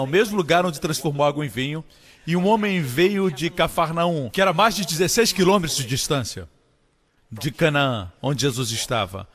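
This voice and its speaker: A man preaching a sermon with emphatic delivery, climbing high in pitch on key phrases. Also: fairly bright tone, in a large hall. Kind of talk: Portuguese-dubbed sermon.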